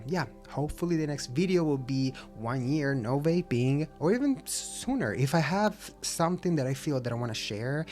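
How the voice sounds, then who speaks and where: A man's voice: mid-range voice, darkish tone. A man talking openly to his camera in a casual vlog, reflective and encouraging, with an occasional stutter and restarts. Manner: open, reflective, self-encouraging. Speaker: vlogger.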